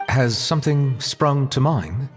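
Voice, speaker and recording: An actor playing a composed, dignified gentleman in a video game, speaking formally with precise, measured wording. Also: medium pitch, in a large hall, faint music behind, lightly acted.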